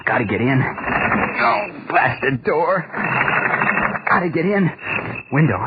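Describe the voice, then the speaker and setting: An actor playing an obsessed, feverish young college inventor, boastful and repeating himself, in 1940s radio horror style. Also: male voice, on the high side, lively intonation, slow. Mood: frantic, defiant. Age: young adult. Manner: feverish, muttering repetitions, boastful. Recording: noisy, tinny 1940s radio recording.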